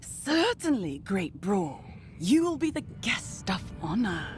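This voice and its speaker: Slow, swooping zealot villainess. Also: female voice, medium pitch, sing-song. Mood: coaxing, zealous. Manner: coaxing, theatrical, fervent. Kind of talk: dramatic video game acting. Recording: music behind the voice.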